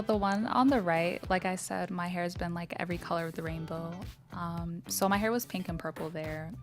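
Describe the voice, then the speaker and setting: A young American woman from Ohio, an exchange student, chatting casually. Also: fairly low pitch. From Dayton, Ohio, USA. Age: young adult. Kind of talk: casual chat.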